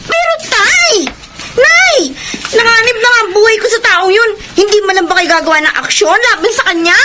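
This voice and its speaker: A very high, youthful female voice plays a frightened daughter who pleads and protests with indignation. It is radio drama acting, with clear noise on the recording. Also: normal speed. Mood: pleading, upset.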